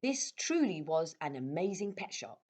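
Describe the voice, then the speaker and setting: A woman reading a children's story aloud as a storyteller, with expressive delivery. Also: low-pitched, normal speed. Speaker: storyteller at a primary school.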